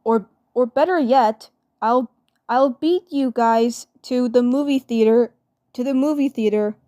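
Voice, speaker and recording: Woman playing a slow, hesitant cartoon brother. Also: on the high side, mellow, close to an everyday voice. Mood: awkward. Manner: halting, repeats phrases.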